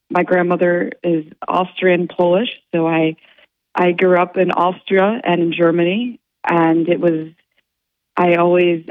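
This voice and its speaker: A woman being interviewed as an artist on the radio, talking in a halting way with many fillers and restarts. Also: on the low side, no room sound. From United States. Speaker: guest artist. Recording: dry, phone-like line.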